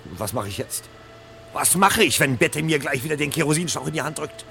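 A man's voice: a little high, animated, fairly quick, vocal fry, a crowd in the background. An actor playing a troubled, self-doubting fireman in a radio drama, brooding and agitated in manner. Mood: agitated resolve. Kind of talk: 1970 German radio drama acting.

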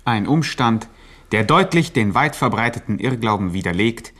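A man narrating a scripted satirical radio play, deadpan and mock-learned. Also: lowish voice, moderate pace. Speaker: second narrator.

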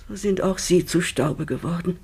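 An actress playing an impassioned, tender and anxious mother in a classic German radio drama, breathy and declamatory. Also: on the low side, moderate pace, darkish tone, full character voice. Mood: anxious grief. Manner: emotive, declamatory.

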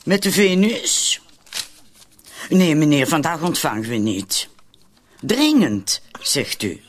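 A man playing an anxious, easily exasperated club madam with a husky voice in a radio drama. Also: on the high side, slow, a little hiss or hum. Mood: brisk polite. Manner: fretful, excitable.

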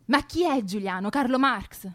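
An actress playing a stubborn, sharp-tongued young woman, bickering scornfully in a naturalistic radio drama. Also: on the high side, moderate pace, lightly acted. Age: young adult.

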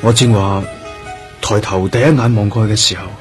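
An actor playing a quietly probing police detective in a radio drama, speaking Hong Kong Cantonese. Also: male voice, on the low side, steady tone, a little fast, faint music behind, lightly acted. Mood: pensive.

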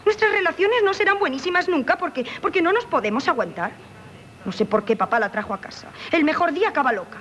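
An actress playing a pert, spiteful teenage younger sister in 1970s Castilian Spanish radio theatre, mocking and exclamatory. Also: female voice, high voice, animated, quick pace, fairly bright tone. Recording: 1970s radio broadcast with faint noise.

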